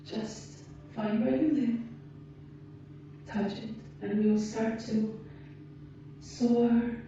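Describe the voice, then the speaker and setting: A woman voicing a gentle, solemn female alien protector in an ASMR roleplay, speaking in measured, pausing phrases as she gently counsels a human. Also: on the high side, flat delivery. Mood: calm, caring. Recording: muffled, very echoey, distant, hissy background noise.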